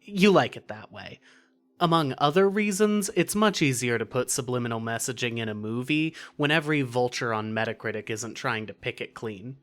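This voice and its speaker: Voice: mid-range voice, moderate pace. A narrator reading scripted second-person fiction for an audio story.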